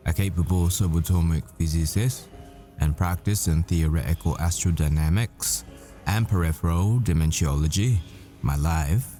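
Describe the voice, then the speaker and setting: Man acting as tender professor boyfriend, deep voice, unhurried halting delivery, intimate wistful roleplay, music underneath. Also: warm tone. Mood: grave, confessional. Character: desperate, tender boyfriend, a secretive professor and physicist. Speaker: audio roleplay performer. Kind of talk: intimate ASMR-style dramatic roleplay monologue.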